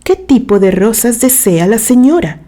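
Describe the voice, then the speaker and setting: A woman's voice: fairly high pitch, lively intonation, normal speed, dark tone, natural voice. A woman voicing a polite, practical male gardener who explains things carefully in a narrated story. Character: courteous, practical male gardener advising his employer.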